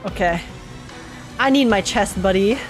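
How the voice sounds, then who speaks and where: A woman's voice: mid-range voice, measured pace. A woman game streamer giving casual reaction commentary, informally thinking aloud and questioning herself. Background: game music.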